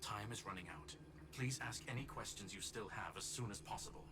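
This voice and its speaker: A man voicing a formal, analytical, machine-like intellect in a video game, in a clipped and measured manner. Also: fairly low pitch, pushed and bright. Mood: formal, grave. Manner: clipped, formal, announces each statement type. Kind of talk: scripted video game voice acting. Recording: music playing under the game dialogue.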